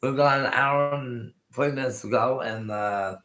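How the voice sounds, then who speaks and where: An older man in his sixties hosts his own live stream, chatting loosely and going back over his words. His toothless speech can sound unclear, and his voice has a bright, forward edge. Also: medium pitch, measured pace. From Colorado, USA. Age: 60s. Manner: rambling, repeats himself, restarts often. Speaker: host. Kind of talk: casual live-stream chat.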